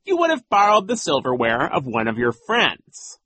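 Scratchy, shrill sneering villain coach. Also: male voice, wildly swooping pitch, sharp tone, no room sound, heavily acted. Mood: sneering, smug. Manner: condescending, commanding, drawn-out words. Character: menacing, sneering, impatient male villain posing as gym coach.

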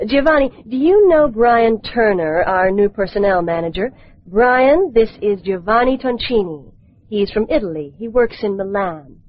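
A woman's voice: medium pitch, animated, normal speed, dry recording. A woman introducing colleagues in a friendly, scripted office dialogue. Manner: friendly hosting, making introductions. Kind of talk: scripted language-course dialogue. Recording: dull lo-fi dry tape recording.

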